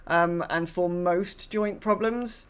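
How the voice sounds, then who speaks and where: Female podcast co-host, on the lower side, informal and meandering, drifts between thoughts. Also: fairly even intonation, slow pace, smooth. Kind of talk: casual gaming podcast chat.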